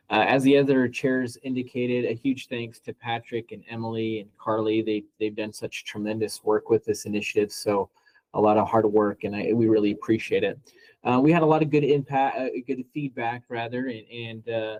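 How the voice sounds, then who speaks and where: A man joins a meeting over a video call and presents for his regional council. He speaks in a flat, even tone, appreciative and with many "uh"s. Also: medium pitch, low-quality recording. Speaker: regional community advisor.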